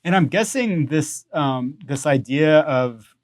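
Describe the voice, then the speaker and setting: A man's voice: fairly high pitch, animated, takes it slowly, dry recording. A man hosting a podcast interview, talking in a loose, conversational way with plenty of "you know" and "um". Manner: conversational, with many fillers. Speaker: podcast host.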